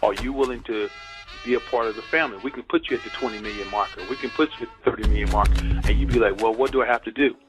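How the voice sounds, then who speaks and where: A man's voice: fairly low pitch, racing. A man giving an informal spoken exposé, emphatic and insistent, repeating his key phrases. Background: music.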